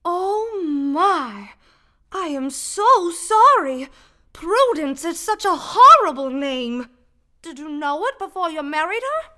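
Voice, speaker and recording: A woman's voice: very high-pitched, big pitch swings, takes it slowly, sharp tone, heavily acted. A woman voicing a prim, tactless young wife of a reporter in a comedic radio play, speaking in a breezy, prim manner. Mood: prim chatter.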